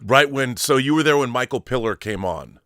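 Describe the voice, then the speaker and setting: A man's voice: mid-range voice, animated, moderate pace, fairly bright tone, no echo, clean studio sound. An American man, effusive and theatrical, hosting a casual fan interview show. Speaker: talk show host. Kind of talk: showman intro, then casual fan interview.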